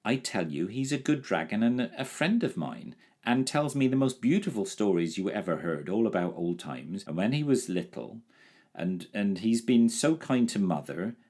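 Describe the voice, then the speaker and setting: A man voicing an excitable young boy in a storybook reading, earnest and pleading. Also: lowish voice, moderate pace, voice pushed up.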